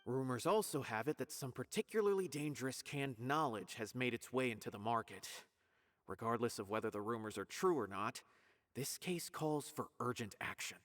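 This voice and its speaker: An actor playing a polite, earnest male investigator in a video game, explaining things in a measured way. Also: medium pitch, expressive, fairly bright tone, lightly acted. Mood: grave, urgent.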